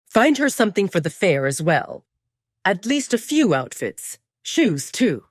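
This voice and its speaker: A woman's voice: medium pitch, sing-song, measured pace, dry recording, clean studio sound. A woman voicing a bossy young male werewolf alpha with a curt, commanding manner in an audio drama.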